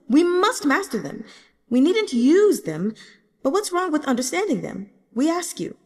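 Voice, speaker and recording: A woman's voice: high voice, in a large hall, pitched above the performer's own voice. A woman voicing a proud, resolute emperor who speaks formally and imperiously in an audiobook. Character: proud, resolute young male emperor plotting bold reforms.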